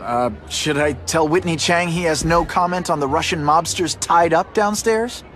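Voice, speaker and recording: An actor playing a young newspaper reporter in a video game, awkward and cheeky, with an informal, wry delivery. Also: male voice, fairly high pitch, normal speed, a bit bright, lightly acted. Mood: probing, cheeky. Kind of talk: video game cutscene acting.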